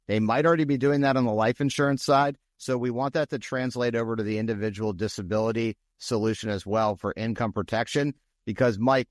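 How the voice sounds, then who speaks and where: A man co-hosting a podcast, explaining things in a steady, slightly salesy way. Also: mid-range voice, no echo, studio quality. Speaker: podcast co-host. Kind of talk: casual podcast discussion.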